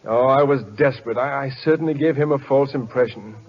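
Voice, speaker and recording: An actor playing an earnest, polite, hesitant American ballplayer in a vintage radio drama. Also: male voice, mid-range voice, a little fast, close to an everyday voice. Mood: troubled, resigned. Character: earnest, flustered, soft-hearted man turned secret ballplayer. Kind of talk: 1940s-50s American radio acting. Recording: muffled old radio broadcast recording.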